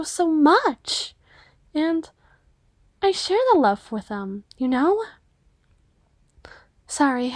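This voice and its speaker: A reserved young cyclops waitress played by a female performer in a roleplay audio. Her voice is high and recorded dry, and she speaks hesitantly, rambling earnestly and modestly. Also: darkish tone, no room sound. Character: shy, self-effacing twenty-five-year-old cyclops waitress. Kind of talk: ASMR roleplay acting.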